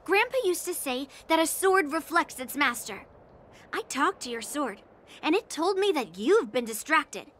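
A woman's voice: high-pitched, expressive, moderate pace, fairly bright tone. A woman voicing a blunt, cheeky young swordswoman in a game, with background music under her lines. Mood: lecturing. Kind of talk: game voice acting.

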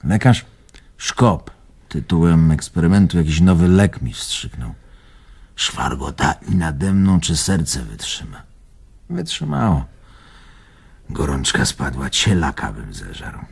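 An actor playing an old Warsaw tavern owner and spirited war survivor in a radio drama, earthy and raspy, reminiscing in clipped phrases. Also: male voice, extremely low pitch, sing-song, slow, exaggerated voice. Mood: gruff, reminiscing. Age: older. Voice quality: gravelly, raspy.

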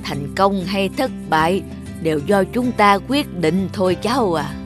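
A man's voice: high voice, a little slow. An actor playing a kindly, reassuring old grandfather in a cartoon dub. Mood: gentle, wise. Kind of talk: cartoon dubbing. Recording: music behind the voice.

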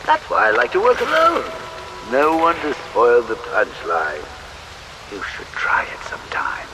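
An actor playing a gleeful, mocking villain clown in a video game, with theatrical taunting delivery and a gravelly voice. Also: male voice, on the high side, wildly swooping pitch, a little slow, noisy background, heavily acted.

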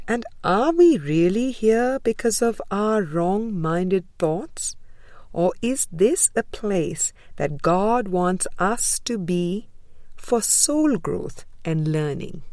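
A woman reading a spiritual book aloud in a measured, deliberate way, with frequent pauses between phrases. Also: medium pitch, darkish tone, no room sound, clean studio sound. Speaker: audiobook reader.

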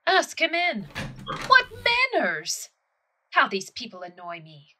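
A woman's voice: on the high side, very animated, takes it slowly, pushed and bright, slight background noise, exaggerated voice. A woman playing a young grieving widow in an audio play, haughty and self-dramatizing, declaiming with tragic, imperious airs. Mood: annoyed. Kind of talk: theatrical audio play acting.

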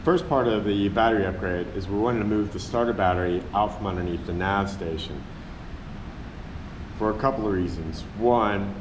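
A man who owns a sailboat, methodically walking through a battery install step by step. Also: low-pitched. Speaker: sailboat owner. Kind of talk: explaining a do-it-yourself install. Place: inside a sailboat cabin. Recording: echoey cabin with loud hum.

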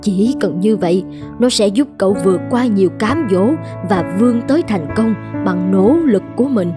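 An actress playing a kindly, brisk older woman who runs a noodle shop in a cartoon, plain-spoken and reassuring. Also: mid-range voice, natural voice. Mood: firm, encouraging. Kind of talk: animated moral-tale voice acting. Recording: music under the voice.

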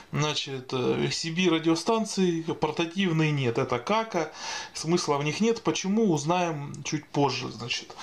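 A man giving an informal explainer voice-over as a video blogger, speaking in a halting, hesitant way. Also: fairly high pitch. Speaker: video blogger answering viewer questions.

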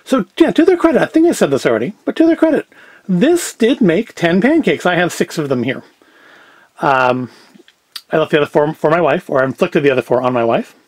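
A man's voice: fairly high pitch, big pitch swings, a little fast. A middle-aged man giving casual cooking and food review commentary with dry, self-deprecating humour. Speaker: video host. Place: indoors at home, kitchen and tabletop set.

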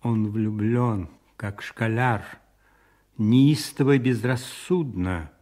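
An actor playing a cold, calculating cardinal and spymaster in a radio play, deliberate and commanding, with savouring pauses. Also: male voice, on the low side, a little mellow. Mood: scheming.

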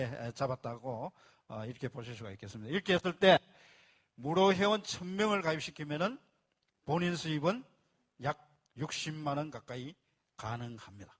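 A man with a voice pitched a little above the usual male range presents at a live seminar. He explains patiently step by step, starting phrases with "자" and repeating key points for the room. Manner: speaks to a live audience and asks for applause. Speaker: business presenter explaining a membership plan.

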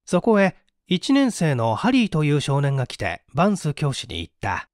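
Expressive, higher-voiced man narrating a story. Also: normal speed, no room sound, studio quality. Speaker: story reader. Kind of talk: dramatic scripted narration.

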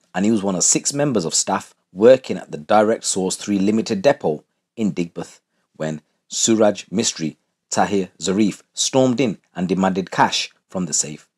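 Deep, husky male crime narrator. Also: a little mellow. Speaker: narrator of a true crime channel. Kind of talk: scripted true crime narration.

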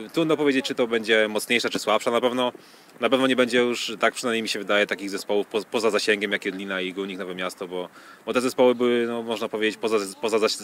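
Low-voiced man interviewed outdoors, noisy background. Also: a bit bright. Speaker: football club official being interviewed. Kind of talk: sports interview, spontaneous answers. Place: outdoors on a football training pitch. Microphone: handheld reporter microphone. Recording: outdoor field noise.

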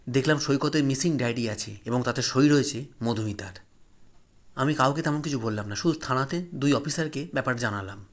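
A man narrating a horror story in the first person, solemn, dramatic and emotive. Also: mid-range voice.

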